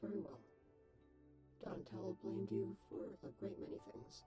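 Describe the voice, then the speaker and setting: A male artificial intelligence copy of a scientist , acted by a woman, speaks in formal, exact sentences for a comic dub. The delivery is detached and dry, yet the pitch moves around freely. Music plays clearly behind the voice. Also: animated, normal speed, close to an everyday voice. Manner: formal, wordy, precise, detached. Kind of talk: scripted comic dub acting.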